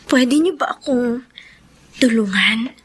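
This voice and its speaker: High, husky-bright devoted young sister. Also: female voice, slow, exaggerated voice. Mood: earnest, hopeful. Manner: earnest, emotional delivery. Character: Blessy, devoted self-sacrificing young elder sister. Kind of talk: Filipino radio drama acting.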